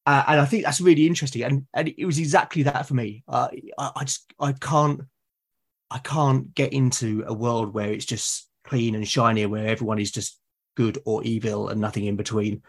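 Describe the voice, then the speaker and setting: Husky man from Britain, chatting hesitantly. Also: mid-range voice, steady tone, normal speed. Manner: hesitant, agreeable, repeating words as he thinks. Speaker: podcast guest. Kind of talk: casual conversation about roleplaying games.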